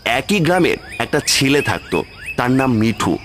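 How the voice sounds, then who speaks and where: Mid-pitched man narrating over loud music. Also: sing-song, moderate pace. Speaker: storyteller, narrator. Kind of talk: scripted horror story narration. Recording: loud music with cricket sounds behind.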